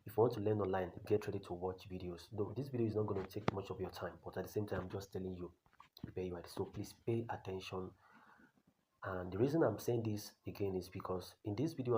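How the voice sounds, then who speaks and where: A man's voice: sits low, quick pace, dark tone, slight background noise, poor audio. A Nigerian man with a Nigerian accent giving a blunt, repetitive tutorial as an online career coach. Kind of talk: talking-head tutorial.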